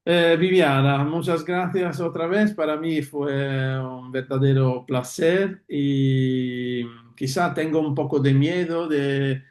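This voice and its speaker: Italian accented guy on a zoom call, super slow, searching for words, echoey room. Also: fairly high pitch, darkish tone. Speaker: guest speaker. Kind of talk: video call thanks. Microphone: video call. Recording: roomy video call audio.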